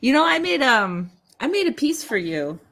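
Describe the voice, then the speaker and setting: A woman's voice: high-pitched, sing-song, moderate pace, a bit bright. Casual woman host on a video call. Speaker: host and artist. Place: cluttered indoor studio. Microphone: desk microphone on a boom, video call.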